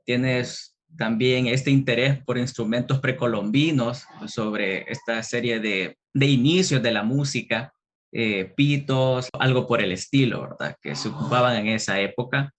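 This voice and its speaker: A man hosting a podcast, first reading a guest introduction in a measured, formal way, then interviewing his guest. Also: mid-range voice, a bit bright. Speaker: podcast host.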